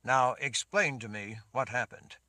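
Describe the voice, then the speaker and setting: Guy doing a firm protective lord, bright forceful tone, dry sound. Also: mid-range voice, measured pace, no room sound. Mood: gentle. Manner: firm, insistent commands.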